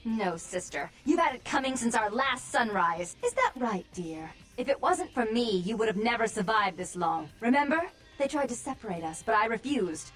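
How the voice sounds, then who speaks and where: A woman playing a vampire with two warring personalities, stern and wild, in dramatic video game acting that swings between hushed and fierce. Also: fairly high pitch, sing-song, moderate pace, hardly any pauses, faint music behind. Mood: cold, accusing.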